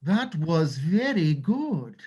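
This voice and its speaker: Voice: mid-range voice, big pitch swings, slow pace, warm tone, slightly rough, dull audio, full character voice. An actor playing a pompous, drunken, narcissistic owl in a stage script reading, with a florid, hammy delivery. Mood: melodramatic.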